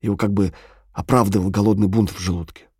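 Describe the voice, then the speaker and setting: A man narrating a literary audio drama in a measured, sombre storytelling manner. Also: fairly low pitch, fairly even intonation, a little mellow, slightly rough, dry recording, studio quality. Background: faint music.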